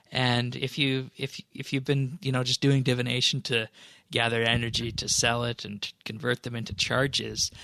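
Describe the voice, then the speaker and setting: Male podcast host, middle pitch, gravelly fry, casual explainer delivery, dry close sound. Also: pushed and bright, no room sound. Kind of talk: casual commentary on game patch notes.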